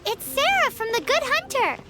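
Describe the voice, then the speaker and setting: A woman voicing a tiny, cheeky fairy companion with a squeaky childlike voice in an anime video game. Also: big pitch swings, normal speed, music in the background. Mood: bubbly, curious. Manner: speaks of self in third person, indignant exclamations.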